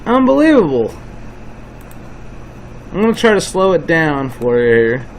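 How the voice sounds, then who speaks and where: A man with a slightly high voice hosts a video, commenting casually on footage. He talks in an informal, insistent way. There is faint noise behind him. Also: very animated, a little slow, rough recording. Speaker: host of a video channel.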